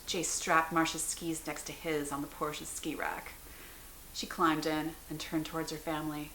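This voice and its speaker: Lowish bright-voiced woman, dramatic narration. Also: little pitch movement, moderate pace. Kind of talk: dramatic scripted story narration. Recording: roomy echo, hiss in background.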